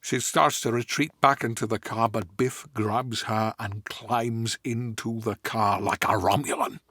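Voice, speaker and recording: A man doing a comic impression of a genteel, grand stage actor, narrating screenplay directions deliberately and dramatically. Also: mid-range voice, fairly even intonation, a bit bright, no echo, clean studio sound. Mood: measured.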